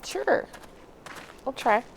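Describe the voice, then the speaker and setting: A woman's voice: very slow, a little hiss or hum, poor audio. A woman giving a casual live walkthrough as a game streamer, commenting as she plays.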